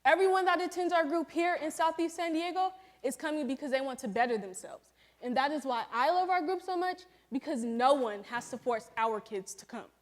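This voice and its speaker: A young woman of eighteen presents her senior project and slips into the role of a group facilitator. Her voice sits a little high, and she speaks earnestly, laying out instructions to the group one point at a time. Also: fairly quick. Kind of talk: student presentation with a scripted role-play.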